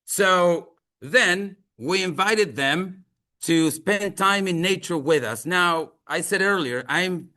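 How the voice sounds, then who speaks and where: Male presenter, Chilean accent, native Spanish speaker. Slightly high, bright forceful voice, deliberate and earnest delivery. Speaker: museum outreach presenter. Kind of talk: presentation to an audience with slides.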